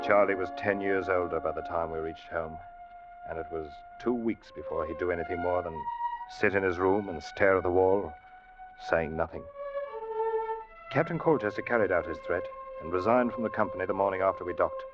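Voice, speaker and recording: Muffled vintage radio, loud music, man acting uneasy ship's officer, low-pitched, dramatic sea-tale delivery. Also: moderate pace, natural voice. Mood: brooding narration. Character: earnest, uneasy male ship's officer telling his tale. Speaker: actor and first-person narrator.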